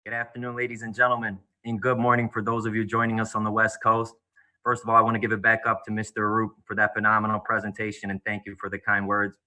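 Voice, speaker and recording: A man in his thirties, originally from Bulgaria, delivers a rehearsed, formal speech over a video call. His voice sits low and barely moves in pitch. The tone is very bright and forward. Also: completely flat delivery, fast talker, poor audio. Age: 30s. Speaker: real estate agent and guest speaker.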